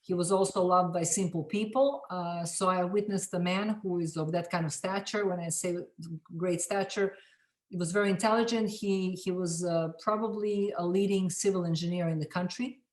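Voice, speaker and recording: Serbian woman, middle-aged, lowish voice, lots of um and restarts, echoey room. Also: steady tone. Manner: halting, many restarts and fillers. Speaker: film director, interview guest. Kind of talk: reflective interview storytelling.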